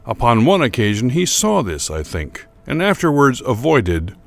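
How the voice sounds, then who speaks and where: A man playing a troubled, bitter gentleman who narrates a gothic horror tale in a formal, deliberate, theatrical manner. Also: mid-range voice, huge pitch swings, vocal fry. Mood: bitter, resentful. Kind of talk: dramatic first-person reading of gothic horror fiction.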